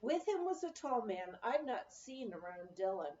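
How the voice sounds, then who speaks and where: A woman reading a memoir aloud as an expressive storyteller, with lively emphasis. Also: a little high, moderate pace, dull audio. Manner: acts out dialogue with lively emphasis. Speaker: audiobook reader.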